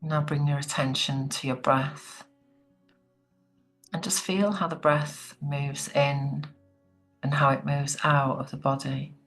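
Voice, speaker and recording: A woman leading a guided relaxation meditation in a soothing, gentle, unhurried manner. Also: very deep voice, fairly even intonation, faint music behind, rough recording.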